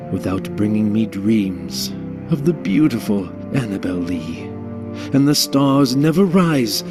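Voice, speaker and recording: A man giving a dramatic poetry recitation in a solemn, theatrical, measured way. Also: medium pitch. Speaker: audiobook narrator reciting a poem. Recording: music under the voice. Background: music.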